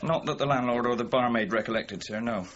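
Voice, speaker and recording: A man's voice: medium pitch, brisk, a bit bright, lightly acted. An actor playing a deferential British police officer in a radio drama, giving a matter-of-fact report to his superior. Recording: dull lo-fi radio recording, faint noise.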